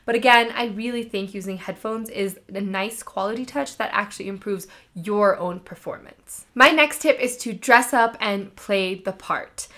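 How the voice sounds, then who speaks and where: Expressive woman giving conversational advice. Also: medium pitch, normal speed, hardly any pauses. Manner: expressive, emphatic. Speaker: career coach presenting advice. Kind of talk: instructional advice video, conversational.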